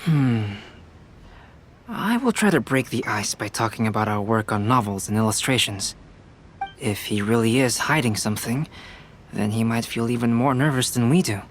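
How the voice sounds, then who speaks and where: A man voicing a calm, composed young alchemist and artist in a video game, speaking in a measured, reasoning way. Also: mid-range voice, slight background noise, lightly acted. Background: game music.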